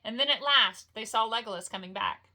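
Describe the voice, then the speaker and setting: Female storyteller: bright voice, expressive fantasy narration, homely and informal, like a bedtime read-aloud. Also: fairly high pitch, a little fast. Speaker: storyteller reading a novel aloud.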